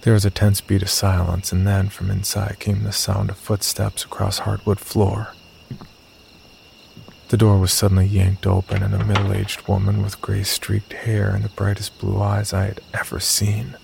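A man playing the uneasy, cautious narrator of a scripted horror story, telling it in a gravelly voice. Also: lowish voice, monotone, a little slow, a little mellow, a little hiss or hum. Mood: tense, measured. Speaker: first-person narrator and lead character.

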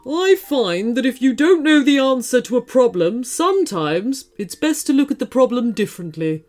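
A woman's voice: high-pitched, expressive, normal speed, darkish tone, soft background music, voice pushed up. A woman voicing a wry, teasing but helpful saber-toothed tiger in a children's story, with a knowing, musing drawl.

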